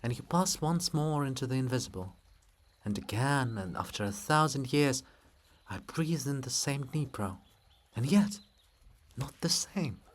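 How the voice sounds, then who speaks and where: A man's voice: mid-range voice, animated, measured pace, a little hiss or hum, close to an everyday voice. A man voicing a solemn, brooding ancient princess. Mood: solemn, mournful. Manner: declamatory, lyrical, weighty. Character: Princess Olha monument, ancient woman, solemn, brooding, proud.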